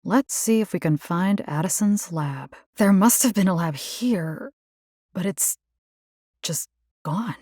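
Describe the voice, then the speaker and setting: A woman's voice: lowish voice, takes it slowly, dry recording, studio quality. A woman voicing a plucky, caring video game combat engineer, casual and wry. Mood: focused, indignant. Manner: casual, wry, expressive, slipping into Spanish slang. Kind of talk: video game character voice lines.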